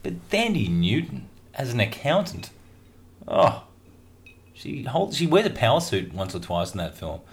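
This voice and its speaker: A man's voice: mid-range voice, huge pitch swings, measured pace, gravelly. A man hosting a radio show, chatting and joking casually between tracks with lots of fillers. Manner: chatty, jokey and rambling, with many fillers.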